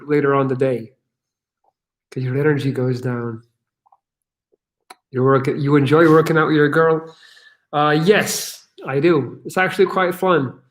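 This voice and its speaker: A man hosts a casual livestream question session with a slightly high voice. He talks loosely and energetically, swears freely and fills his speech with "like" and "um". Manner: casual, profane, energetic, with many fillers. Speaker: channel host answering viewer questions.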